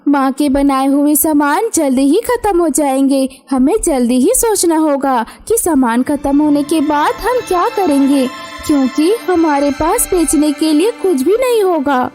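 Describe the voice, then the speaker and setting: A woman's voice: high-pitched, animated, unhurried, mellow, faint music behind. A woman voicing a protective, reassuring young elder sister bird in a cartoon story. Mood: earnest. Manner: reassuring, earnest. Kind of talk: Hindi cartoon moral story.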